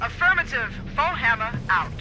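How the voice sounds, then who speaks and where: A woman's voice: on the high side, sing-song, moderate pace. A woman playing a cool-headed, businesslike military dropship pilot in a video game, with clipped military delivery. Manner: clipped, military radio talk. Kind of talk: video game cutscene acting. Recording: aircraft engine noise.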